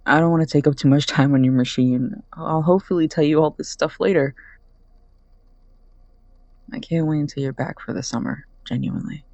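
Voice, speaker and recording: A man's voice: a little high, fairly even intonation, brisk, creaky, a little mellow, dry recording. A man playing an earnest, hopeful young friend leaving a hesitant answering-machine message in an audio drama. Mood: wistful, sincere. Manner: hesitant, sincere, rambling.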